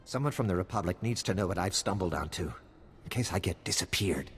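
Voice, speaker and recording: An actor playing a streetwise, wary and wry private investigator in a video game cutscene. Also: male voice, sits low, fast, a bit bright, long reverb tail, slight background noise. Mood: wary, urgent.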